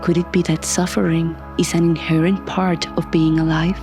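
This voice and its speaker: A woman leading a guided meditation as a scripted narration, soft and breathy, calm and soothing, with deliberate long pauses. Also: low voice, music in the background.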